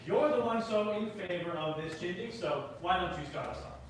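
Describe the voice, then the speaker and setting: An actor in a live staged reading playing a reluctant, married theatre director with halting, wordy, formal phrasing. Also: medium pitch, lively intonation, natural voice. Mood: reluctant, wry. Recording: very echoey, noisy room from far off.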